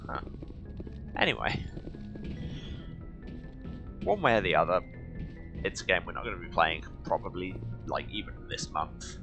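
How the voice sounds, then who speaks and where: A man's voice: low voice, very animated, a little slow, pauses often, dull audio. A man hosting a video game playthrough, chatting with wry asides as he comments. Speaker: let's play host. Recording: game music behind the voice. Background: video game music and ambience.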